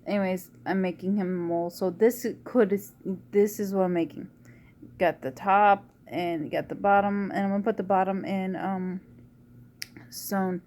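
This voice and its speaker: A woman with a quiet, low voice chatting casually in a rambling home vlog. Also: steady tone, moderate pace. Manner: rambling, soft-spoken, uses y'all. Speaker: vlogger. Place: at home indoors.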